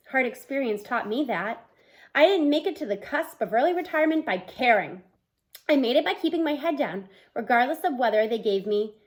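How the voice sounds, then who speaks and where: Woman, thirties, high voice, expressive storybook narration, acted dialogue. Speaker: read-aloud book reader. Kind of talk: expressive children's audiobook-style read-aloud. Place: small room at home, indoors.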